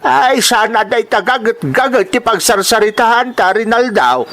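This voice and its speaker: A man's voice: extremely high pitch, lively intonation, normal speed, bright, forceful tone, full character voice. A man playing a stern, suspicious farmer father in a radio-style drama, scolding and questioning, with an Ilocano accent.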